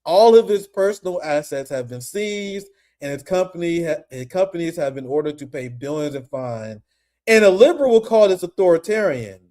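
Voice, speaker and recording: A young man hosting a casual political commentary stream, animated and profane, laughing often. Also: a little high, moderate pace.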